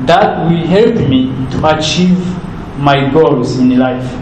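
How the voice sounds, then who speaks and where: A young man with a Rwandan accent, a native Kinyarwanda speaker, talks earnestly in an interview. He speaks haltingly and repeats words as he searches for them. The recording has a roomy echo and steady background noise. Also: a little high. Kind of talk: heartfelt interview answers.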